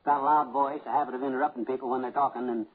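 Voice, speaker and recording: An actor playing a boastful, folksy middle-aged husband and former vaudeville comic, blustering and rambling in 1950s radio comedy style. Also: male voice, medium pitch, steady tone, racing, slightly rough, close to an everyday voice. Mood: folksy gossip. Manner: blustering, rambling, self-assured. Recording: muffled, narrow old radio recording.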